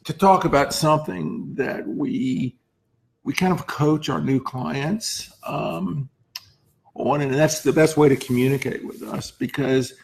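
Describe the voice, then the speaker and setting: A man hoarse with laryngitis, speaking as a lawyer hosting an informal advice talk and often clearing his throat. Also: medium pitch, a little slow, a little hiss or hum, poor audio. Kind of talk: informal explanatory talk to camera.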